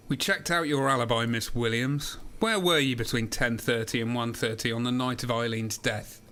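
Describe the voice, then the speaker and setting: A man's voice: medium pitch, moderate pace, bright, forceful tone, close to an everyday voice. A man acting as a blunt, inquisitive police detective in an amateur radio drama, speaking in short, direct questions. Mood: confronting.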